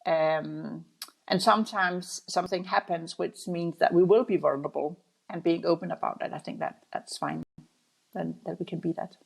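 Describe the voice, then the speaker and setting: A middle-aged Danish woman with a Danish accent, answering interview questions thoughtfully, with many pauses and restarts. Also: fairly low pitch, fairly even intonation, creaky, slightly rough, rough recording. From Denmark. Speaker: guest, audit executive.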